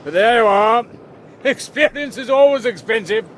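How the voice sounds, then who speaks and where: High-voiced suave British gentleman crook. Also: moderate pace. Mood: smug, courteous. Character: suave, courteous, condescending male gentleman crook. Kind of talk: British radio play acting. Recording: outdoor vehicle background noise.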